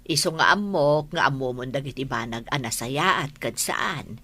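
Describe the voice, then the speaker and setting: A woman acting a bossy but kindly middle-aged aunt in a Filipino radio drama, husky, with a Filipino accent. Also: extremely low pitch, animated, normal speed, bright, forceful tone, heavily acted. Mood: teasing, encouraging.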